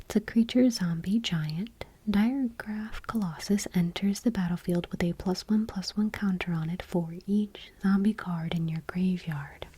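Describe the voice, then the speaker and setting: A woman speaking in a soft, breathy whisper, reading aloud gently and unhurriedly in an ASMR style. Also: fairly low pitch, a little slow. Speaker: host of an ASMR channel.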